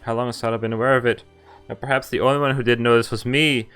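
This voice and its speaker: Clear-voiced man reading a game aloud. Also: medium pitch, steady tone, fast talker, fairly bright tone, soft background music. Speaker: game streamer. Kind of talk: reading a visual novel aloud with casual commentary.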